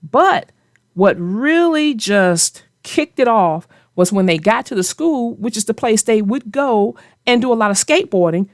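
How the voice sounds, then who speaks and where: Lady host chatting about a kids story, really animated, makes her points firmly, dry sound. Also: a little high, measured pace, no echo. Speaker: host of a children's read-aloud show. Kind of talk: conversational story discussion and safety advice.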